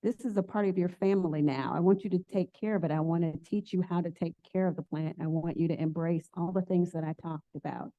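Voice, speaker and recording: Woman, plant shop owner, earnest casual talk, lowish voice, dry phone-like sound. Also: fairly even intonation, fast talker, few pauses, dark tone, dry recording. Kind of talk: casual talk with audience questions.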